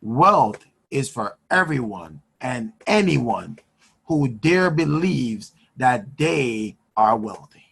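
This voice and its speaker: Gravelly, husky motivational host. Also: male voice, fairly high pitch, fairly bright tone. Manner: emphatic, repeats phrases for effect. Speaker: host and finance mentor. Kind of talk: motivational speaking on a live call. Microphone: video call.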